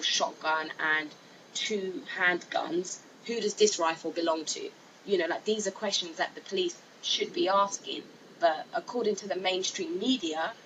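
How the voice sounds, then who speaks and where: A woman's voice: fairly low pitch, fairly bright tone, highs cut off. A woman giving informal, hesitant opinion commentary as a video narrator, repeating herself with lots of filler phrases. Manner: hesitant, repetitive, frequent "you know" and "like". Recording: noisy amateur voice-over with clear hiss.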